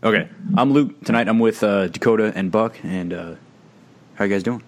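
A man hosting a casual gaming podcast, upbeat and steering the chat between topics. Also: on the low side. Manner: upbeat, quick, steers the conversation. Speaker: podcast host.

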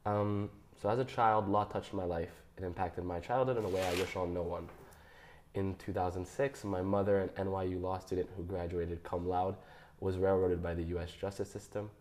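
A young man reading his personal statement aloud in a measured, careful way. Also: low voice, fairly even intonation, darkish tone, slight background noise. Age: young adult. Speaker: guest.

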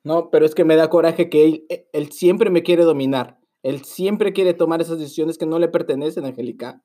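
A man's voice: a little high, normal speed, dark tone, natural voice. A man improvising a flustered, bickering husband on a prank phone call, stalling, repeating himself and pleading melodramatically. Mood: indignant. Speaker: podcast host making a prank call.

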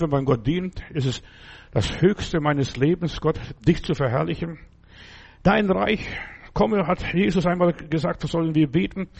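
Gravelly, husky German-speaking preacher man. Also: a little high, darkish tone, lo-fi sound. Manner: earnest, exhorting, repeats key phrases, slow emphatic stresses. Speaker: pastor. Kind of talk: sermon.

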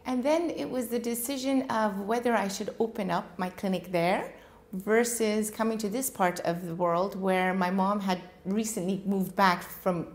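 A woman who was born in Iran and raised in the United States, a dentist and clinic owner, giving calm and earnest interview answers. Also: mid-range voice. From Iran, raised in Washington state.

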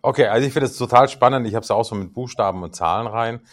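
A man in his sixties co-hosting a podcast, joking playfully and pausing often on "äh" and "ähm". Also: medium pitch. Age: 60s. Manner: joking, playful, hesitant with fillers. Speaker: podcast co-host. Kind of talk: casual interview chat.

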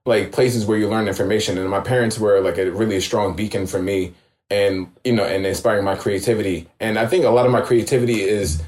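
A man in his thirties from New York, talking casually as an interview guest. His voice sits low, and his words ramble loosely, with plenty of "like" and "you know." The room gives his voice a noticeable echo. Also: brisk. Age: 30s. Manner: relaxed, filler-heavy, reflective. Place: home room.

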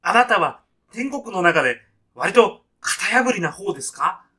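A man acts out a straitlaced, timid salaryman in a comedy radio play. His voice is fairly high for a man and clearly bright. He speaks with careful politeness, holding back and hesitating as he goes. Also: lively intonation, a little slow, full character voice. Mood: hesitant, uneasy. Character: timid, straitlaced salaryman newly arrived in heaven. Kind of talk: deadpan comic radio sketch acting.

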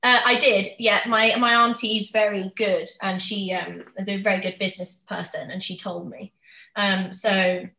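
A woman's voice: mid-range voice, level tone, moderate pace, pushed and bright. A woman, talking candidly and chattily in a casual conversation. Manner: chatty, candid, acts out others' questions. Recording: roomy, echoey sound.

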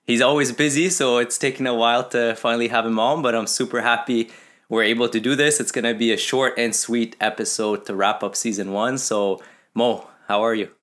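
A man's voice: medium pitch, fairly even intonation, fairly quick, a bit bright. A young adult man, a student and footballer, hosting a podcast, reading an upbeat intro and then asking friendly questions. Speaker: podcast host.

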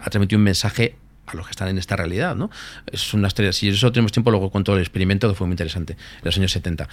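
Fast-talking man explaining eagerly. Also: on the low side, no echo. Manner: fast, animated explaining with many asides. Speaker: guest, lucid dreaming expert. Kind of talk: podcast interview explanation.

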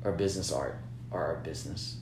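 A man's voice: a bass voice, fairly quick. A man talking through business plans in a casual, rambling way, often repeating words and checking in with "you know what I'm saying". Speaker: business-minded artist partner. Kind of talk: casual planning chat. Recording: echoey room with noise.

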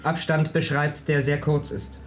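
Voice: medium pitch, a little slow, slight background noise, lightly acted. A player voicing a literal-minded golem robot. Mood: literal, matter-of-fact. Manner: flat, literal, machine-like phrasing.

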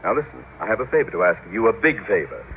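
Pompous scheming principal, tinny old radio. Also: male voice, lowish voice, sing-song, rapid-fire, slight background noise. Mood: conspiratorial. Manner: pompous, self-important. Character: pompous, scheming middle-aged school principal angling for promotion. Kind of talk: old-time radio sitcom acting.

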